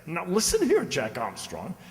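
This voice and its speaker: An actor playing a principled older tavern keeper in a radio drama, earnest and expressive. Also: male voice, a little high, normal speed. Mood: indignant. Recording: reverberant hall.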